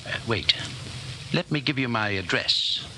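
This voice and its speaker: An actor playing a suave, measured senior party official in 1940s radio drama style, urbane and deliberate with hesitant pauses. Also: male voice, mid-range voice, lively intonation. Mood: courteous, casual. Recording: noisy 1949 radio broadcast recording.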